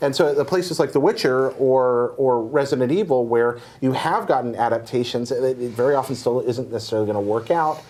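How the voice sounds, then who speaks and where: A man's voice: medium pitch, fairly quick, few pauses, darkish tone. A man speaking as a podcast panelist, analytical and full of qualifications, in casual discussion.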